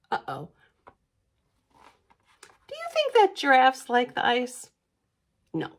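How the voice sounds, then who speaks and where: A woman reading a picture book aloud for a library storytime, expressive, with questioning asides to young listeners. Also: high-pitched. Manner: expressive, questioning, sing-song emphasis.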